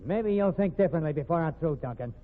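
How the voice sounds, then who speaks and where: Man playing high-voiced, impatient tough detective. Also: lively intonation, fast talker, natural voice. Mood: tough, assured. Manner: blunt, exasperated, slangy. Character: gruff, impatient, wisecrack-weary male detective. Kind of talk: old radio mystery acting. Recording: muffled, narrow-band old radio recording.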